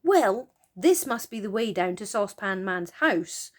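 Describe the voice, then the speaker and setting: A woman voicing an eager, excited young boy on an adventure in a children's storybook. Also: mid-range voice, a little slow. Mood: excited, urging. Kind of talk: storybook character voice.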